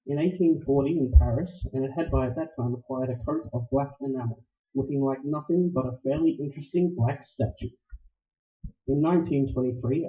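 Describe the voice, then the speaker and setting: A man's voice: mid-range voice, close to an everyday voice. Man acting suave scheming villain, echoey and muffled. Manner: formal, unctuous, wordy. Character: suave, scheming, verbose male villain obsessed with treasure. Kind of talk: 1940s radio acting. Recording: dull lo-fi sound in echoey room.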